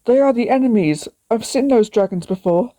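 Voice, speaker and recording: A woman voicing a gentle, lonely young dragon princess in a storybook, easily amazed. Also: a little high, expressive, normal speed, dry recording, natural voice. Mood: surprised.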